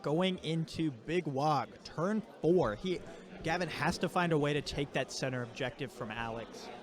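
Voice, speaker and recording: A soft-spoken man commentating a tabletop game, sitting too far from his microphone. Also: on the high side, normal speed. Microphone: too far from his mic.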